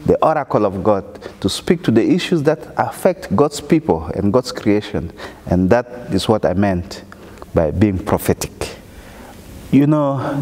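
Middle-aged church leader from South Sudan, earnest and measured, clip-on mic, reverberant hall. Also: male voice, mid-range voice, a little mellow. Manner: earnest, measured, emphatic pauses. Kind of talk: interview testimony. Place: indoor chapel with wooden wall.

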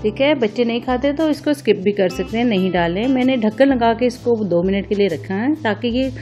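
Female cooking vlog host, higher-pitched voice, casual step-by-step instruction addressed to viewers, music behind. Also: brisk, mellow. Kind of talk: casual recipe narration, step by step.

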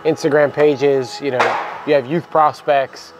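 A young man co-hosting a casual talk about coaching baseball, relaxed and filler-heavy, on a lapel mic in a cluttered equipment room. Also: a little high, a little slow, over music, poor audio. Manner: relaxed, many "you know" fillers. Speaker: baseball program coach and co-host. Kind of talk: casual podcast chat.

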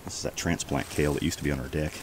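A man giving a casual walking tour of his backyard garden outdoors, chatty and relaxed as he points things out on a handheld camera. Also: very deep voice, rapid-fire, noisy background. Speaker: homestead vlogger. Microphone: handheld camera held while walking.

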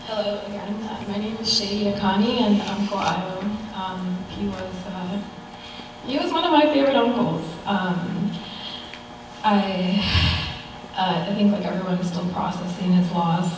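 A woman's voice: mid-range voice, a little slow. Young woman, American accent, informal eulogy, conversational storytelling, heavily reverberant church, distant mic. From United States. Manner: conversational, chuckling through anecdotes. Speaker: niece giving a funeral tribute. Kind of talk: informal eulogy with personal stories. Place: large church sanctuary, at a pulpit. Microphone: far camera. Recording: very echoey church hall, distant mic, faint noise.

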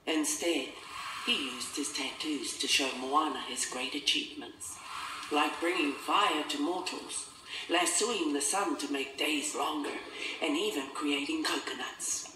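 A man narrating a scripted children's story in a measured, expressive storytelling manner. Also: fairly high pitch, noisy background. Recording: roomy, distant, music behind.

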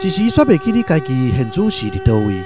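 A man's voice: medium pitch, wildly swooping pitch, fast, loud music behind the voice. A man with a Taiwanese accent narrating a literary radio drama from a script.

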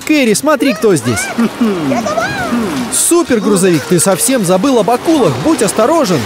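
An excitable, encouraging narrator of a children's cartoon, calling out to the characters with urgency. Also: mid-range voice, moderate pace. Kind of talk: animated, exclamatory storytelling addressed to the characters. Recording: music behind the voice. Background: cartoon music.

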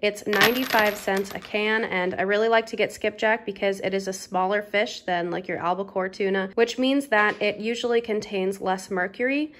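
A woman's voice: medium pitch, fairly even intonation, moderate pace, few pauses, a bit bright, a little hiss or hum. A woman in her thirties vlogging a grocery haul in a casual, chatty way, with plenty of ums and uhs, from her home kitchen table. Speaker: lifestyle vlogger.

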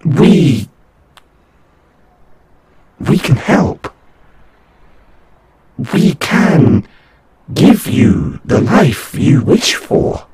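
A man voicing a condescending alien angel envoy in an audio roleplay, speaking in a halting, solemn, formal way with a breathy, husky voice. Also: a little high, huge pitch swings, darkish tone, a little hiss or hum, heavily acted. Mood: grave, persuasive. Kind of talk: scripted audio roleplay monologue.

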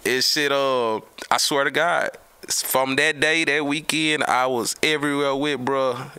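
Forceful male voice, clean smooth tone, casual slangy storyteller, emphatic and repetitive, close studio mic. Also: medium pitch, measured pace. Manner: animated, emphatic, repeats words for emphasis. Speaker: storyteller, host of his own show. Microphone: close podcast mic on a boom arm.

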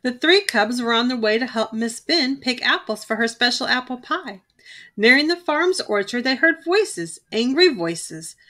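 A young adult woman giving a lively, expressive read-aloud of a children's storybook. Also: on the high side, moderate pace. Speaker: children's book reader.

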